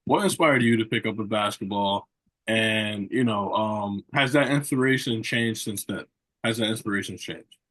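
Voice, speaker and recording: A man's voice: lowish voice, normal speed, fairly bright tone. A man hosting a sports interview show, asking long rambling questions with many repeated phrases and "you know" fillers. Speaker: podcast host.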